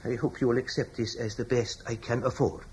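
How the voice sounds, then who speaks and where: A loyal, dignified servant, voiced by a man, speaks formally and deferentially in an even, mid-pitched voice. He is middle-aged and earnest, heard in a classic radio play with faint ambience behind him. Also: brisk, slight background noise, close to an everyday voice. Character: loyal, dignified male British slave, older father. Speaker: household slave. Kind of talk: radio drama acting.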